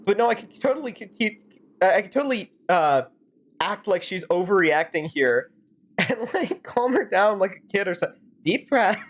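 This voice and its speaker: A woman's voice: medium pitch, lively intonation, normal speed. A woman streamer improvising rambling, sarcastic commentary and role-play while playing a game. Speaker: streamer fan-dubbing a game.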